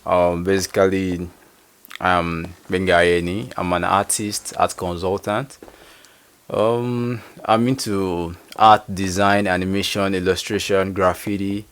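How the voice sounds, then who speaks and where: A young adult man from Nigeria, a guest artist talking casually in an interview, halting and full of fillers. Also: low voice, level tone, a bit bright, smooth. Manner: halting, searching, many fillers.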